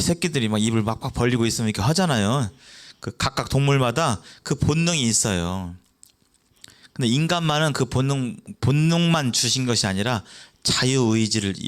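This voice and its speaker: A man's voice: mid-range voice, a bit bright. A man preaching a sermon in a church, explaining in a conversational way and asking the congregation rhetorical questions.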